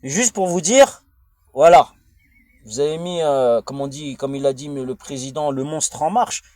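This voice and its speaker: Man, French accent, higher-pitched voice, dry close sound, rambling monologue, blunt slangy delivery, repetitive. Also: a little slow, darkish tone, no echo. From France. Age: adult, father of a young child. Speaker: vlogger.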